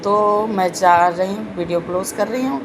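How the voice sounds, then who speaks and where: A woman with a sore throat giving a slow, earnest devotional talk in an online video. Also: medium pitch, noisy background. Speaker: spiritual video host.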